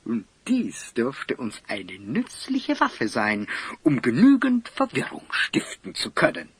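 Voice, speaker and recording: An actor playing an eccentric, kindly old scholar and inventor in an audio drama, theatrical and pompous, with hems and chuckles. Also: male voice, mid-range voice, huge pitch swings, slow pace, husky, full character voice. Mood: proudly excited. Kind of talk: German audio drama acting.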